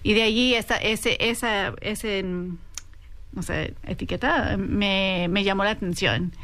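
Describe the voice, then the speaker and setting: A woman's voice: medium pitch, unhurried. A woman guest on a radio panel who identifies as Chicana, slipping English phrases into her speech. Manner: mixes in English phrases, lively.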